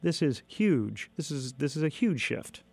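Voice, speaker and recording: A male radio host asking a guest questions in a friendly, unhurried way. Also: fairly high pitch, sing-song, creaky, a little mellow, slightly rough. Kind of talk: radio interview.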